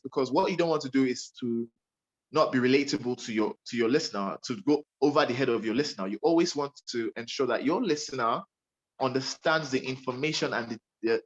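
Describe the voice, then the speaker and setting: A man's voice: mid-range voice, slightly rough. Earnest Nigerian man with a dull, lo-fi sound. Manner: earnest, emphatic, repeats himself. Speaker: radio presenter. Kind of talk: advice to a young interviewer.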